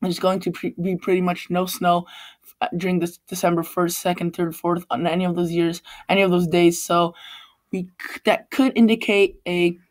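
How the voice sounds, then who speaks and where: A man's voice: high-pitched, little pitch movement, normal speed. A man with a Polish accent hosting a weather channel, talking loosely over forecast maps and often stopping to correct himself. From Poland. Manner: rambling, frequent restarts and self-corrections. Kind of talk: casual forecast over screen-shared maps. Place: Chicago.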